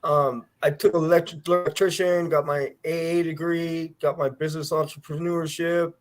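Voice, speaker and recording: A man's voice: a little high, little pitch movement, normal speed. A man chatting casually as a guest in a plain-spoken, slangy way. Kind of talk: casual chat.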